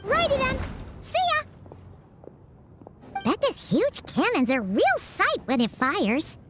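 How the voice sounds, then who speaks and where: A woman voicing a tiny, excitable, girlish floating companion creature in a video game cutscene, bubbly, chatty and eager. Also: extremely high pitch, slow pace, full character voice. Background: game music.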